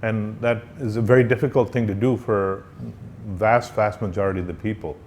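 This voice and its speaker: A man interviewing a guest, asking questions politely and deliberately with some hesitant phrasing. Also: on the low side, steady tone, darkish tone, a little hiss or hum. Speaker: interviewer, professor.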